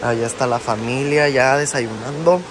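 A man's voice: medium pitch, normal speed. A young man chatting casually to his viewers as he films himself at a beach resort. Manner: chatty, relaxed, addresses viewers as friends. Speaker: travel vlogger. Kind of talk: casual travel vlog narration. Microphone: phone camera at arm's length. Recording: wind and sea noise outdoors.

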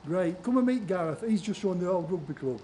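A man's voice: high voice, lively intonation, fairly quick, dark tone, a little hiss or hum. A man playing a sociable nightclub mate in an amateur radio play read aloud. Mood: friendly. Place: large conference room before an audience.

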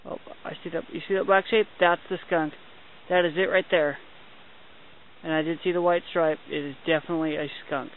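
A young man narrating a night hike outdoors into a handheld camera, chatty and excited, with mild minced oaths. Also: on the high side, fairly quick. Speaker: hiking vlogger. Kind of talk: hushed running commentary while filming. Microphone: handheld camera mic. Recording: tinny lo-fi camera audio with hiss.

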